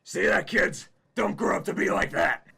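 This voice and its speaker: A man voicing an aggressive, foul-mouthed middle-aged father who yells and swears at a stranger. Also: sits high, lively intonation, moderate pace, gravelly, breathy edge, pitched above the performer's own voice, heavily acted. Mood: furious yelling. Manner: yelling, swearing.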